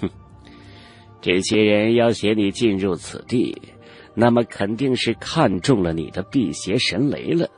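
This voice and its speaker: Guy doing a haughty old martial sage, low husky voice, talks down to you, mocking, fantasy audiobook. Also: lively intonation, faint music behind. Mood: scornful. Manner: measured, condescending, archaic speech. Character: old male cultivator elder in grey robe, haughty, knowing, mocking. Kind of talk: Chinese fantasy audiobook character acting.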